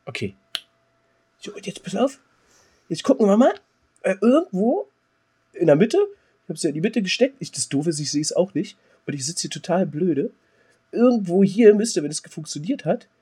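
Husky, higher-pitched man. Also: very animated, moderate pace, pauses often, warm tone. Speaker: podcast co-host. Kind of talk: casual chat.

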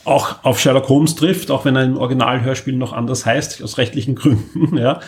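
A man's voice: mid-range voice, steady tone, fairly quick, a little mellow, slight background noise. A man co-hosting a podcast in casual chat, wordy and enthusiastic, piling up emphasis in long monologues. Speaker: podcast co-host.

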